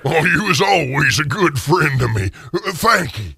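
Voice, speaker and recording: A nervous old male miser in a radio play, pitched high with a gravelly rasp. His querulous, stammering speech swoops up and down. There is long reverb around the voice. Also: sing-song, measured pace, bright, forceful tone, exaggerated voice. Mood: anxious. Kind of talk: radio theater acting.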